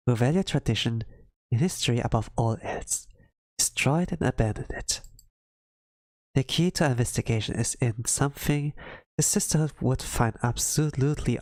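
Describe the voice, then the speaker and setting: A streamer voicing a thoughtful, curious young female researcher who muses deliberately and is quietly determined. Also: mid-range voice, no room sound, close to an everyday voice. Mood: intrigued. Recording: background music under the voice.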